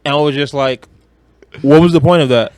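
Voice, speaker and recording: A man co-hosting a podcast, chatting casually about films in a blunt, animated, slangy way with some swearing. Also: on the high side, a little fast, smooth, no room sound. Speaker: podcast co-host.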